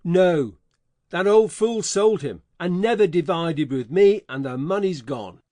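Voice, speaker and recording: A man playing a scheming adult con man, speaking in a gruff, folksy, bullying way in an audiobook dramatization. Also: high voice, lively intonation, unhurried, a little mellow, no echo. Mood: threatening.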